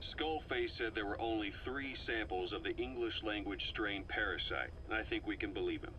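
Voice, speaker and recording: An actor playing a shrewd, intense middle-aged intelligence officer in a video game, delivering an analytical, emphatic, deliberate briefing monologue. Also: male voice, lowish voice, dull audio, natural voice. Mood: grave, analytical. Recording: faint noise, cassette-style recording.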